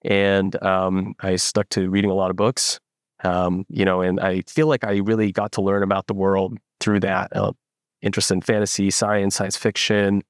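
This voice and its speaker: A young man from the Philippines, a startup founder, telling his story in a relaxed interview with lots of fillers and restarts. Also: deep voice, normal speed, no echo, studio quality. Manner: reflective, many fillers and restarts. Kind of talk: casual interview.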